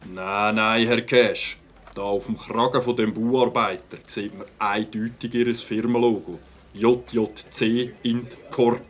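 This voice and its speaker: An actor playing a dutiful, incorruptible village policeman in an audio drama, deliberate and official. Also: on the low side, fairly even intonation. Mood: investigating.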